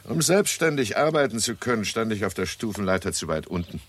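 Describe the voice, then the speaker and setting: A man playing a wry, worldly scientist with a deliberate manner in a 1960s German radio play. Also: on the low side, very animated, dry recording. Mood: sardonic. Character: wry, worldly male scientist, dryly mocking bureaucracy.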